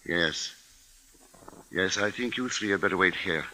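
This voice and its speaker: Deep, grim radio-drama father. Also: a crowd in the background, poor audio. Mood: subdued, steady. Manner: measured, grave, deliberate. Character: grim, capable husband and father narrating a siege. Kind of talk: 1950s radio drama acting and first-person narration. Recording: 1950s radio recording with music underscore.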